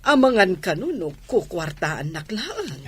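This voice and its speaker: An actress with an Ilocano Filipino accent playing a trusting, doting wife and restaurant owner in a radio drama. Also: female voice, on the low side, big pitch swings, a little slow, slightly rough, exaggerated voice. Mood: affectionate, teasing. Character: trusting wife who owns a restaurant, doting on younger lover.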